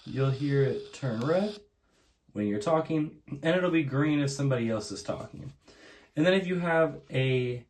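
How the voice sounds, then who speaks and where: A man's voice: mid-range voice, animated, unhurried, a little mellow, low-quality recording. A man hosting a casual product unboxing, explaining things plainly, step by step. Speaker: unboxing video host.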